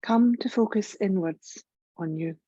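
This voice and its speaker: A woman guiding a yoga relaxation meditation in a gentle, soothing, measured way, leaving long pauses. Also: medium pitch, breathy edge, dry recording, dull audio. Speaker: yoga nidra meditation guide.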